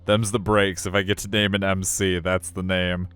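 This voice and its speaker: A man's voice: low-pitched, flat delivery, moderate pace, a bit bright, clear, resonant tone, dry recording. A man narrating a visual novel on a live stream, with chatty, joking commentary and game music behind him.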